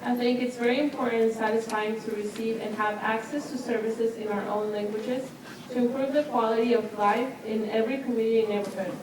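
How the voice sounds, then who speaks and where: A woman interpreter reading a translated testimony aloud at a city hearing in a steady, plain way. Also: on the high side, moderate pace. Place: public meeting hall. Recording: very echoey hall, distant mic, noise.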